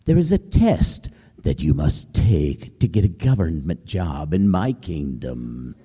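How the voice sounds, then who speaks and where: A male storyteller voicing a menacing, hungry lion king who speaks slowly and darkly in drawn-out, sinister phrases. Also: very deep voice, very animated, mellow, husky, voice pushed down, full character voice.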